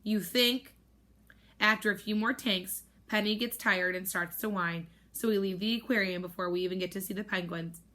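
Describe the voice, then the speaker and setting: A woman teacher reading a novel aloud to her students, with expressive narration. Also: fairly quick, few pauses. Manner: expressive, raises pitch for girls' voices. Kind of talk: storybook read-aloud with character voices.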